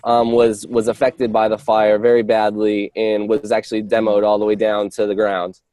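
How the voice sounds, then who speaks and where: A man working as a real estate agent, giving an informal update on a work call in a hesitant, halting way with false starts. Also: lowish voice, monotone, dark tone, no echo, rough recording. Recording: dry conference call audio.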